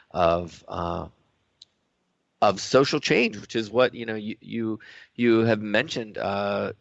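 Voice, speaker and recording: A man's voice: lowish voice, dry recording. Man talking reflectively, dry dull sound. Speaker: radio show co-host. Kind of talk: reflective conversational radio discussion.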